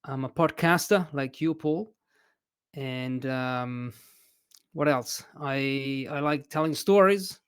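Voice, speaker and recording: A man from Italy with an Italian accent, an English teacher chatting as a podcast guest in a hesitant, expressive way. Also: medium pitch, slow, clear, resonant tone. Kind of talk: casual interview chat.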